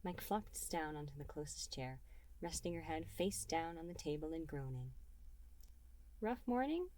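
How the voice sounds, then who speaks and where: Female story reader, lowish pitch, dark relaxed tone, expressive audiobook narration. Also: moderate pace, poor audio. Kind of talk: narrating fan fiction audiobook.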